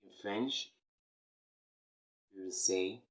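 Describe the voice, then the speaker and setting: A man from Cameroon hosting a beginner French lesson, repeating key phrases slowly and emphatically. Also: low voice. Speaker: French teacher, channel host. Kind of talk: slow, repetitive beginner language lesson.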